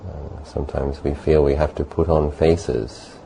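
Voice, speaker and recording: Really low mellow guy doing guided meditation, gentle, long pauses, bit of background noise. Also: level tone, creaky. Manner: gentle, unhurried, with long pauses between short phrases. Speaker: meditation teacher.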